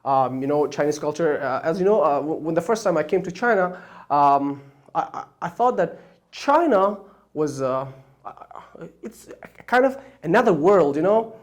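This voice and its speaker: English, persian and pashto speaker, course host, unscripted, halting, many fillers and restarts, middling pitch with sudden upward jumps. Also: expressive. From Afghanistan. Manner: hesitant, many fillers and restarts, pitch jumping up on key words. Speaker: online Chinese course host. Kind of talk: unscripted explanation of a course plan.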